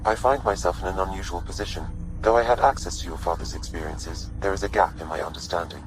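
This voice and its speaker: An actor in a video game playing a courteous sentient artificial intelligence that speaks in a measured, formal, precise way. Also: male voice, low-pitched, a little hiss or hum, lightly acted, low-quality recording. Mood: measured, explanatory.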